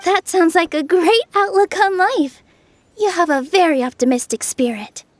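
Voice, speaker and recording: Casting: gentle, earnest young woman for anime game, very high, animated, dry studio sound. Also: moderate pace, no echo. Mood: admiring. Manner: polite, earnest.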